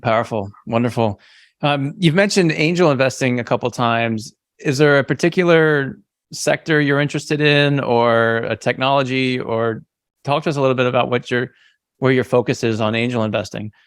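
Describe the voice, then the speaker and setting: Man, interview host, affirming, open questions, mid pitch, dry sound. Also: moderate pace, no echo. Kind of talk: interview conversation.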